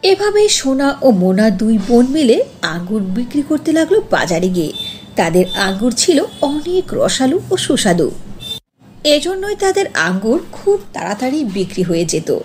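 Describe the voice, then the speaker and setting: A woman's voice: on the high side, big pitch swings, moderate pace, a little mellow, a little hiss or hum. A woman narrating a cartoon fairy tale as a scripted storyteller.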